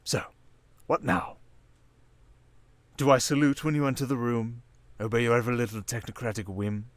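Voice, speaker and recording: A man playing a suave, cunning villain in an intimate ASMR roleplay, speaking in a silky, theatrical, teasing way with deliberate pauses. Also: mid-range voice, steady tone, dry recording, lightly acted. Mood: amused, teasing. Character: suave, cunning male criminal mastermind villain, seductive and teasing.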